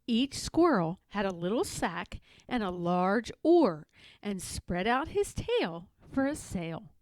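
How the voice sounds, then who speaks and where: A woman narrating a children's storybook aloud in an expressive, measured read-aloud manner. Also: mid-range voice, no echo. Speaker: storybook reader.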